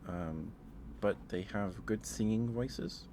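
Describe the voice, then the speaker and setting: A man's voice: fairly low pitch, slow pace, background noise. A man hosting a casual ASMR livestream, talking in a rambling, hesitant way and often repeating his phrases. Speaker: livestream host.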